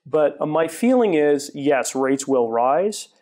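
A man in his forties, a guest in a studio interview, explaining at length with frequent restarts, on a lapel mic in a TV studio. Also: fairly high pitch. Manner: halting restarts, then steady explanation. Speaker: guest, retail property developer. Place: TV studio set.

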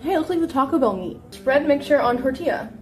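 A woman's voice: sits high, lively intonation, quick pace, over music. A young woman streaming from her home kitchen, chatting casually and reacting dramatically while she cooks. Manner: dramatic, squeamish, exclaiming. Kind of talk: casual livestream chat while cooking.

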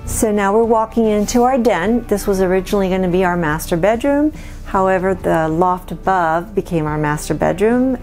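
A woman's voice: medium pitch, normal speed, darkish tone. A middle-aged woman from Cuba, a native Spanish speaker, giving a casual guided tour of her home as its owner. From born in Cuba. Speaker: homeowner giving a house tour. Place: small wood-panelled house interior. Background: background music.

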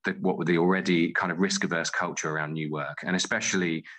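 A man speaks as a guest in a conversational interview. His voice is very low yet bright and forward. He talks thoughtfully, circling back over phrases as he works out his answer. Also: fairly even intonation, fast. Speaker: interview guest, playwright and theatre director.

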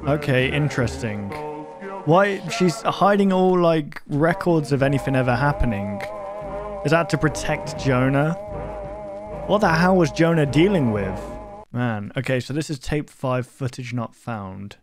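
A man's voice: fairly high pitch, lively intonation, measured pace. Young British man over prominent music. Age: 20s. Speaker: reaction video host. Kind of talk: casual reaction commentary, reading on-screen text aloud. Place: bedroom gaming setup. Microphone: close desk microphone, seen. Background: music from the video he watches.

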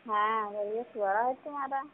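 A woman's voice: a little high, steady tone, moderate pace. A woman in a casual phone conversation, answering in short, hesitant replies. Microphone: phone call. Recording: tinny phone call with background noise.

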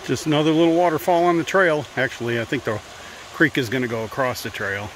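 A man's voice: medium pitch, fairly even intonation, fast. Chatty man hiking through woods. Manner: chatty, narrating while walking. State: out of breath at times. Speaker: hiker vlogger. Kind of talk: casual trail vlog. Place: outdoors on a forest trail. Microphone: handheld phone. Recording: faint wind and stream noise.

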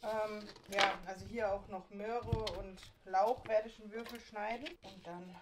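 A woman's voice: medium pitch, steady tone, unhurried. A woman in her thirties chatting informally and explaining things in a casual home vlog. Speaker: vlogger. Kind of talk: casual vlog chat while cooking and unboxing. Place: indoors at home, kitchen and living room. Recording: clear background hiss and kitchen noise.

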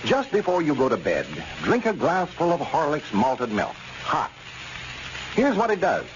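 Old time radio announcer guy, super lively swooping delivery, folksy ad pitch, muffled noisy recording. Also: measured pace. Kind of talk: 1930s radio advertising and scripted narration.

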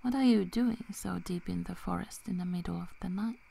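A woman playing a young, protective, teasing female werewolf in a soft, half-whispered ASMR roleplay, with long pauses. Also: lowish voice, a little hiss or hum. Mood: warning, guarded. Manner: slow, hushed, breathy delivery with long pauses.

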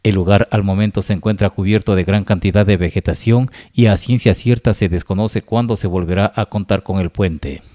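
A man's voice: deep voice, steady tone, brisk, hardly any pauses, dark tone. A man reading a formal, scripted news report as a reporter.

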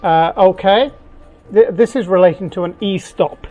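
An older man hosting a workshop tutorial, talking through a machine setup slowly, with halting fillers and restarts. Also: high-pitched, mellow, faint music behind. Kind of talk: unscripted technical walkthrough. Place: home workshop, indoors.

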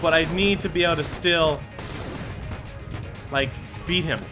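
A man giving let's play commentary, thinking aloud, reading item text and breaking into quick excited exclamations. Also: on the high side. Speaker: gaming channel host. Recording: game music playing underneath. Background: game music.

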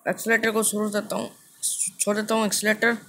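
A man's voice: sits high, completely flat delivery, quick pace, creaky, a bit bright. Indian guy doing casual gaming commentary, repeats himself, english words mixed in, noisy amateur recording. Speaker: gaming video host. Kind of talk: casual live gameplay commentary. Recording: noisy amateur screen recording with ambient sound.

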